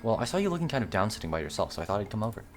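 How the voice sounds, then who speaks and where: A man's voice: fairly low pitch, sing-song, rapid-fire, soft background music. A man voicing an easygoing, supportive young college student, the casual and sincere hero of a visual novel. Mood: concerned.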